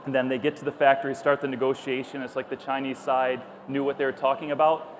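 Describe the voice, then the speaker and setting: A man's voice: medium pitch, quick pace. A middle-aged man giving a conversational public talk full of anecdotes, speaking into a lapel mic on a stage. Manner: conversational, animated storytelling, acts out dialogue. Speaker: company founder, conference speaker. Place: stage at a busy trade show hall. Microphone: clipped lapel mic. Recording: clear background noise of event hall.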